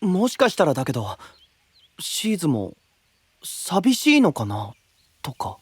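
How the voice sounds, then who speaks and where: An actor playing a gentle, earnest, boyish young knight with a hesitant manner in an anime-style voice drama. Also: medium pitch, huge pitch swings, unhurried, husky, no echo, exaggerated voice. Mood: wistful. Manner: soft, hesitant, trailing off.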